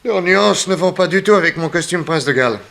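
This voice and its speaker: A debonair male private detective in a sixties French radio serial. He speaks in an urbane, easygoing way, genial and unhurried, with polished period delivery. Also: high-pitched, lively intonation, gravelly, a bit bright, full character voice. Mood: genial, leisurely. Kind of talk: 1960s French radio drama acting.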